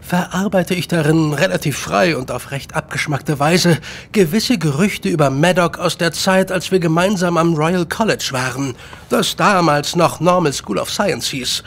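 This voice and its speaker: An actor playing an eager, talkative Victorian gentleman writer in a radio drama, speaking in formal, wordy sentences. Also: fairly high pitch, normal speed. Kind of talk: German radio drama acting.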